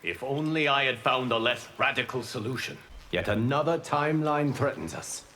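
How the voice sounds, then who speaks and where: A man playing a calm, noble protector god in a video game, speaking formally and resolutely. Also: mid-range voice, moderate pace, slight background noise. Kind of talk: video game character dialogue.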